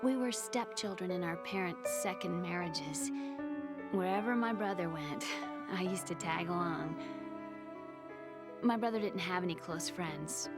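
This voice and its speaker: An actress playing a shy young woman, a wounded younger sister who speaks softly and hesitantly. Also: fairly low pitch, natural voice. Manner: soft and hesitant, slipping into whispers. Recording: game cutscene with music behind.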